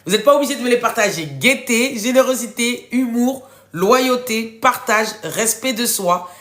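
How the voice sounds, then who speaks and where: A woman's voice: a little high, animated, moderate pace, fairly bright tone. A woman with a head cold hosting a casual live coaching workshop in French, speaking informally and affectionately to her listeners as she encourages them. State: congested, runny nose from a cold. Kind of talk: casual interactive coaching livestream, reading out participants' answers. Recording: roomy, echoing room sound.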